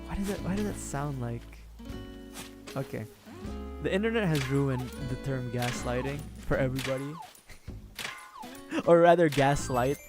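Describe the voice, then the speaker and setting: A hoarse man streaming a game, chatting casually in a rambling, joking way with frequent laughs. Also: medium pitch, moderate pace, pauses often. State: hoarse, sore throat. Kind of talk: casual gameplay commentary. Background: game music.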